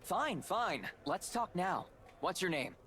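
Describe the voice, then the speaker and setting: Direct, earnest young game hero. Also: male voice, high voice, big pitch swings, slow, pushed and bright, slight background noise, full character voice. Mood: suspicious. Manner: direct, questioning, businesslike. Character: earnest, skeptical young male RPG hero. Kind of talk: video game voice acting.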